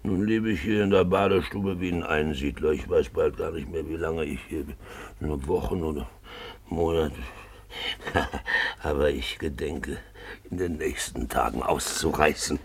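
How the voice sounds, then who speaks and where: An actor playing a boozy, jovial, lecherous gentleman in a 1950s German radio drama, gravelly and theatrical, going from grumbling mumbles to loud wheedling cries. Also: rumbling low, moderate pace, heavily acted. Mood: amused, bragging. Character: boozy, roguish Russian gentleman found alive, jovial lecher.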